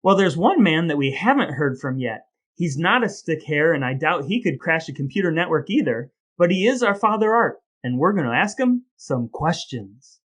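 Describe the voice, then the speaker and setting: A man's voice: a little high, lively intonation, moderate pace, few pauses, a bit bright, slight background noise. A man co-hosting a casual comedy podcast, talking in a wordy, playfully dramatic way. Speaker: podcast co-host.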